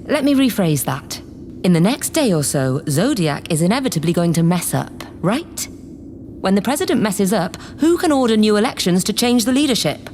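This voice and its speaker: A woman's voice: mid-range voice, very animated, normal speed, darkish tone, slight background noise, natural voice. A woman playing an imperious, scheming acting leader of a spaceship in a sci-fi comedy audio drama, commanding, condescending and sarcastic. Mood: scheming, threatening.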